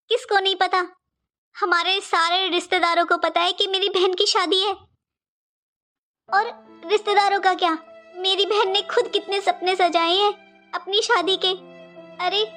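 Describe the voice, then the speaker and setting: A woman's voice: very high-pitched, pushed and bright, full character voice. A woman voicing an indignant young daughter-in-law in a cartoon melodrama, accusing and dramatic, full of rhetorical questions. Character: indignant young daughter-in-law defending her jilted sister. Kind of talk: Hindi cartoon family melodrama voice acting.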